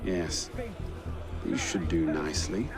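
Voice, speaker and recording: A man's voice: extremely low pitch, steady tone, takes it slowly. An actor playing a wry, determined young male assassin in a video game cutscene, speaking in a terse, understated way. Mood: intent. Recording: loud music and crowd behind.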